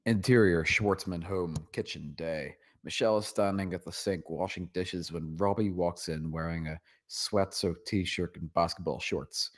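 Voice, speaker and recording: A man's voice: deep voice, fairly even intonation, a little slow, hardly any pauses. A man narrating a script's stage directions at a table read.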